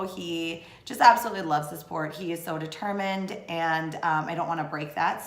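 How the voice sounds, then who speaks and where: A woman in her thirties, originally from Sri Lanka, chatting casually to camera in a vlog, rambling with lots of fillers. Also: fairly low pitch. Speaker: blogger and vlogger. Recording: echoey room.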